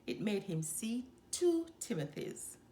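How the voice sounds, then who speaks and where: Slow, animated woman reading a storybook. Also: mid-range voice, a little hiss or hum. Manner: animated, deliberate storybook delivery. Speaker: storyteller reading a children's book aloud. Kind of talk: expressive read-aloud narration.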